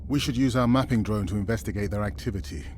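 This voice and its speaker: A man's voice: on the low side, fast, a little mellow, natural voice. A man playing an intense, principled research analyst in a video game, serious and emphatic. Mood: insistent. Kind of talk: naturalistic video game voice acting. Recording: clear background noise under voice.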